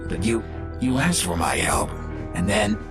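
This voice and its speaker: An earnest robot scientist, voiced by a man, principled and measured. The voice is deep and full of heavy creak, with music behind. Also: big pitch swings, full character voice. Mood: pleading.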